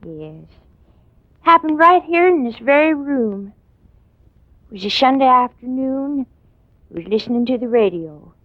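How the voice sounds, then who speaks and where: A woman playing a respectful, wistful housekeeper in 1940s radio drama style, halting and confiding. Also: high-pitched, very animated, dry recording. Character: deferential, long-serving female housekeeper, wistful. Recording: dull, dry vintage radio recording.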